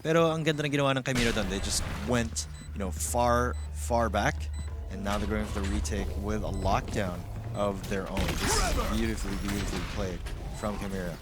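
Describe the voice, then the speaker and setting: A man's voice: on the low side, big pitch swings, fairly quick, loud music behind the voice. A man with a Filipino accent giving live analysis as an esports caster, switching between two languages. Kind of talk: live game analysis commentary. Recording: noisy audio with clicks.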